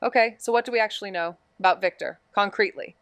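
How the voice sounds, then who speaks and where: A woman's voice: mid-range voice, quick pace, clear, resonant tone, dry recording, close to an everyday voice. A woman acting a wry, introspective, guarded amateur investigator in a naturalistic mystery audio drama. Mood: focused, grave. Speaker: actor in a neo-noir mystery podcast.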